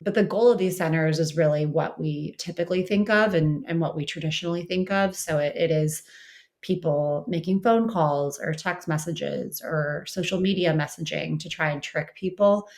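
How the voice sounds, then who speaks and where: Low-pitched woman explaining in an interview. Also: normal speed, darkish tone. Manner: explanatory, frequent "you know" and "kind of". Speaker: interviewed researcher and writer. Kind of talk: conversational expert interview.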